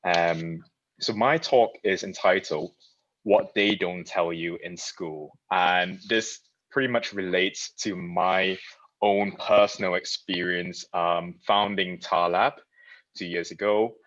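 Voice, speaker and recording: Low-voiced man from Malaysia, dull dry sound. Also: steady tone, takes it slowly, fairly bright tone, no echo. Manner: loose and chatty, many fillers and restarts. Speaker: design studio founder giving a talk. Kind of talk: casual presentation talk.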